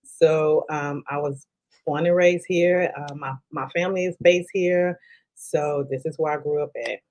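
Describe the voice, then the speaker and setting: A woman from Texas in her forties speaks with a low, Texan-accented voice. She talks in a halting, earnest way, pausing on ums and restarting sentences as a podcast guest. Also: fairly even intonation, a little mellow. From Dallas, Texas. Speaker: podcast guest, life coach. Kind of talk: conversational interview.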